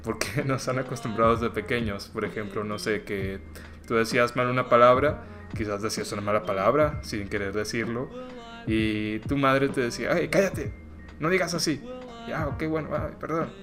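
A man's voice: medium pitch, moderate pace. A young man from Mexico, hosting a podcast in a casual, joking way. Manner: chatty and joking, acts out quoted voices. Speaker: podcast host. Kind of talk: casual solo podcast talk. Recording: clear music playing under the voice.

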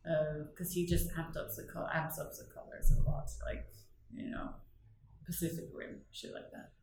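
A woman's voice: deep voice, little pitch movement, normal speed, a little mellow, very echoey, poor audio. A woman from northern Norway with a Norwegian accent, a guest in an interview, talking in a rambling, self-correcting way and laughing often. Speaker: artist guest. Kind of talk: casual interview chat.

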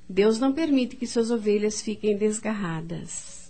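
A woman's voice: mid-range voice, lively intonation, a little slow, warm tone. An actress playing a stern, controlling, devout middle-aged French mother in a radio drama, speaking formally and with authority. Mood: stern, commanding.

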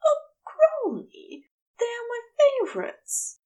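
A sweet, obedient male angel from an audiobook romance, played by a woman. He speaks softly and earnestly in a raised pitch, with eager, lilting delivery. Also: huge pitch swings, slow pace, heavily acted. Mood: delighted. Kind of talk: fan fiction audiobook character voice.

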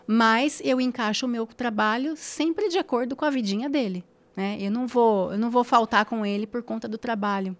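Woman, podcast guest, emphatic, repeats herself for stress, slightly high pitch. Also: moderate pace. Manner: emphatic, repeats phrases, frequent tag questions. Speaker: guest influencer. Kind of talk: casual podcast conversation.

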